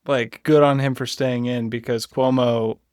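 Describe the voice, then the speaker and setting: A man's voice: medium pitch, flat delivery, a little slow, a little mellow, smooth, no room sound. Opinionated man chatting politics, dry close sound. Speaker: political podcast co-host. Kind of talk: casual political discussion.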